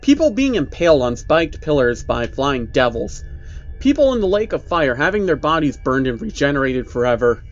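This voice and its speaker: A man's voice: on the high side, big pitch swings, moderate pace, muffled recording. A man narrating a creepy first-person story as a storyteller. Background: background music.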